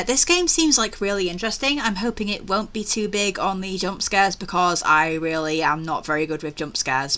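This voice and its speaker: A young woman hosting a let's play, reading a visual novel aloud with expressive, jittery, casual reactions. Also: medium pitch.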